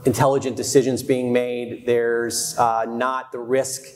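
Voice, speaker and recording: A middle-aged man speaking as a real estate expert on a conference panel, measured and analytical, wearing a lapel mic on a large hall stage. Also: medium pitch, little pitch movement, a little mellow.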